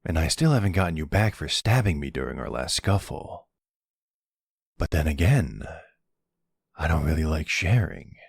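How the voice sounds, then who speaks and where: A man's voice: very animated, moderate pace, long pauses, no echo, exaggerated voice. A man playing a smug, flirty, teasing vampire in an intimate ASMR roleplay, with a playful, taunting drawl close to the microphone. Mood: seductive menace. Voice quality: deep, gravelly, husky. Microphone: close studio-style microphone, dry.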